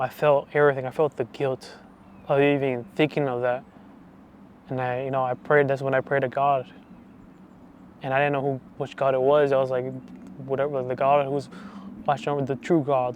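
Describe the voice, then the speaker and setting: Young man, 20s, testimony speaker; soft, hesitant, monotone delivery over faint noise. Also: medium pitch. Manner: soft, hesitant, full of restarts and fillers. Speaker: channel host giving testimony. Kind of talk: personal faith testimony.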